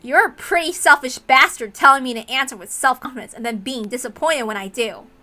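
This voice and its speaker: A woman's voice: high-pitched, brisk, bright, forceful tone, soft background music. A woman voicing a blunt, passionate young heiress, defiant and emphatic, speaking in slangy lines as in a visual novel.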